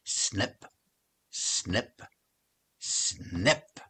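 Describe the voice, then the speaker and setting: An older man reading a children's story aloud, with expressive, deliberate phrasing. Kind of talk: children's read-along story narration.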